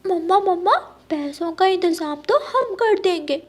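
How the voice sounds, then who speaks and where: A woman's voice: extremely high pitch, animated, a little slow, voice pushed up. A woman voicing a sweet, eager little girl bird in a cartoon story, with a childlike, pleading way of talking. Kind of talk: Hindi cartoon story dubbing.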